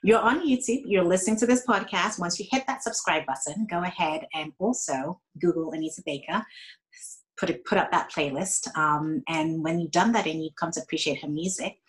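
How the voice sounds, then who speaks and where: Low-voiced woman with mixed Ghanaian-British-American accent. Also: fairly quick, poor audio. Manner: chatty, with frequent ums. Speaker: podcast guest. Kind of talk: casual podcast interview.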